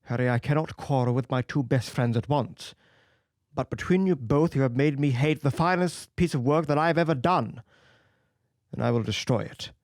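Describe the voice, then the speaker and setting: A man's voice: medium pitch, darkish tone, dry recording, studio quality, voice pushed up, close to an everyday voice. A man voicing an earnest, wounded painter. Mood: grave. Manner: earnest, measured, grave. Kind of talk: audiobook character reading.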